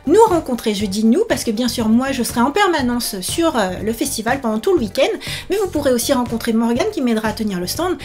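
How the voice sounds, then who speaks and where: High-voiced, enthusiastic woman vlogger. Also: quick pace, faint music behind. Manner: animated, exclamatory, enthusiastic. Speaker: author and YouTuber promoting her book. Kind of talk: casual chat to camera. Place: small indoor room set up for filming.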